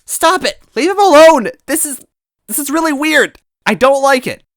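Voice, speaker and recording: A man acting out a young female toad held captive in a storybook tale. The voice is pitched far up and shrill, feisty and defiant with a distressed, pleading edge. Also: lively intonation, moderate pace, no room sound, studio quality. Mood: horrified. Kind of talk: acted storybook dialogue.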